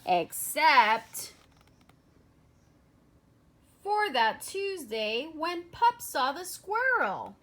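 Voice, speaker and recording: Lady reading a kids picture book out loud, high voice, takes it real slow, puts drama into the key words. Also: big pitch swings. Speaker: storyteller reading aloud. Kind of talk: children's picture book read-aloud.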